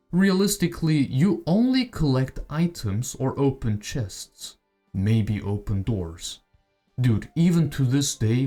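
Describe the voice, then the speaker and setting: A man narrates a gaming video essay in a casual, conversational way. His mid-pitched voice is relaxed and mellow, and he shares opinions freely. Music plays behind him. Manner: conversational, opinionated, rhetorical questions. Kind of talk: casual voice-over commentary. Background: background music.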